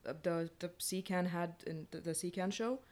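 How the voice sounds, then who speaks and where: Very low-pitched woman, frank talker. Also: normal speed, darkish tone. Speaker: playwright on a panel. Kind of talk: casual panel discussion.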